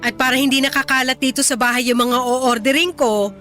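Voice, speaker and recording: A woman's voice: high voice, fairly even intonation, brisk, bright, forceful tone. A woman playing a defensive, hot-tempered middle-aged mother, scolding stubbornly in a Tagalog radio drama. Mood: defiant. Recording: music underneath.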